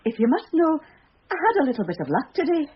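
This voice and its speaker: A woman's voice: high voice, sing-song, quick pace. An actress playing an airy, self-satisfied policeman's wife in a British radio drama. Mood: pleased, airy. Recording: muffled radio recording.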